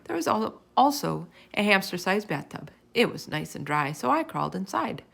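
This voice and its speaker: A woman reading a children's chapter book aloud in expressive narration. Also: medium pitch, normal speed, vocal fry. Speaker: storybook reader.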